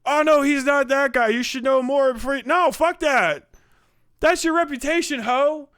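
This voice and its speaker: A man giving profane, casual livestream commentary, rambling and thinking out loud as he goes. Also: very high-pitched, moderate pace, dry recording, studio quality. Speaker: live streamer reacting to videos.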